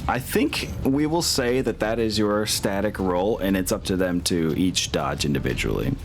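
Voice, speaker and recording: Low-voiced, animated male game master. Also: moderate pace, over music. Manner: swears casually. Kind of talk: casual tabletop game narration.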